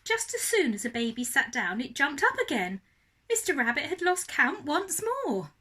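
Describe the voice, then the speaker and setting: A woman reading a story aloud as a primary teacher would, expressively and deliberately for young children. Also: high voice, sing-song, bright, forceful tone.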